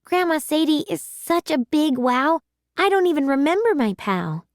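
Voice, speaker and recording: A woman voicing an eager, bubbly young girl in a children's storybook, excited and emphatic. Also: high-pitched, normal speed, dry recording, studio quality, pitched above the performer's own voice.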